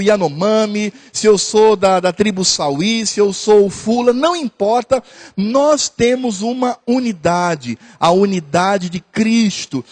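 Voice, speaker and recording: A man's voice: high-pitched, darkish tone. A man preaching a sermon as pastor, repeating phrases earnestly and hesitating at times.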